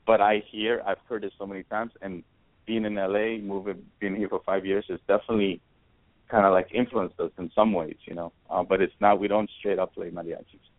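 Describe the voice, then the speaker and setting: A man's voice: low voice, little pitch movement, a little fast, no echo. A man from Ecuador, a band founder, answering radio interview questions in a rambling, hesitant way with many fillers. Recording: tinny phone-like line.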